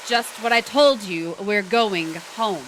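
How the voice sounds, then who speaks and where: An actress playing a proud, restless young wife in a stage drama, defensive and sharp-tongued. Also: female voice, medium pitch, slow pace, slight background noise, rough recording. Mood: flustered. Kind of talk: stage drama performed online.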